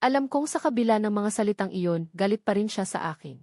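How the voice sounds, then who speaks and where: A woman's voice: mid-range voice, expressive, brisk, warm tone, no echo, studio quality. A woman reading a dramatic first-person story as a scripted narrator. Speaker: narrator of a romance novel.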